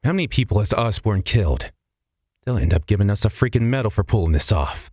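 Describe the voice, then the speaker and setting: A man's voice: lowish voice, animated, a little fast, mellow, no echo, studio quality. A man playing a cynical prison guard in a comic dub, blunt and colloquial. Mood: cocky.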